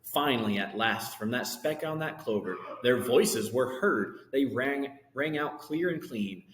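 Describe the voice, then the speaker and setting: A man's voice: mid-range voice, lively intonation, a little slow, fairly bright tone. Man reading a storybook, very echoey. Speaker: reader of a children's book. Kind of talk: storybook read-aloud with casual asides. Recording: echoey room with long reverb.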